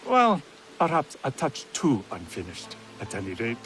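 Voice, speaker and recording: A man playing a refined, genial tea master of feudal Japan in a game, measured and contemplative. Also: mid-range voice, huge pitch swings, a bit bright, faint music behind, low-quality recording.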